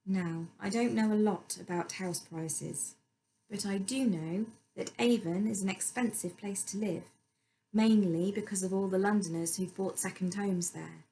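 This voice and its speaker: Noisy home recording, woman, storyteller, scripted narration, mid-pitched voice. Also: measured pace, creaky, warm tone. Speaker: storyteller reading a book aloud.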